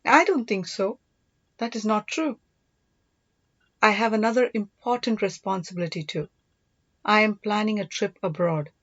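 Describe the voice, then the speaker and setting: A woman's voice: mid-range voice, normal speed, long pauses, fairly bright tone, lo-fi sound, close to an everyday voice. A woman voicing a devoted, earnest young prince and older brother in an audiobook, reassuring and teasing.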